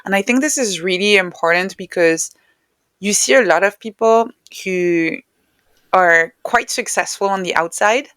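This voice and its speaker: A woman's voice: mid-range voice, unhurried, a bit bright, dry recording, clean studio sound. A woman speaking as an interview guest, explaining ideas in a reflective, conversational style. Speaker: interview guest, neuroscientist and author.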